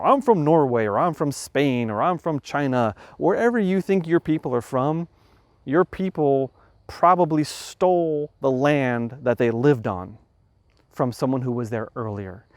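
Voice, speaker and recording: Measured man reasoning through ethics. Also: medium pitch, no echo. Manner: thoughtful, measured, frequent restarts while reasoning aloud. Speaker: podcast host. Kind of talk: explanatory talk on ethics.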